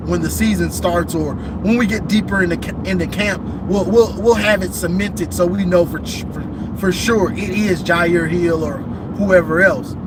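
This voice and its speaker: A man hosting casual football talk, rambling and repeating himself, a bit hoarse from losing his voice, calling in from a vehicle. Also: high voice, noisy background. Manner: rambling, repeats himself, says "you know what I'm saying". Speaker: football show host. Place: in a vehicle on a bridge.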